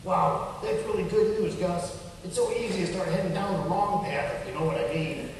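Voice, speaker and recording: A man's voice: sits high, normal speed. A man playing a wisecracking, skeptical male puppet in a children's show, with a slangy, sarcastic way of talking. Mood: wry. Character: sardonic, gruff-minded, wisecracking male puppet. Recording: echoey hall from far off, faint noise.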